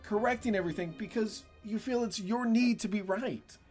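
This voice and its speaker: A woman's voice: mid-range voice, moderate pace, darkish tone, faint music behind, close to an everyday voice. A woman playing a cocky, blunt young starship pilot in an audio drama. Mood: argumentative.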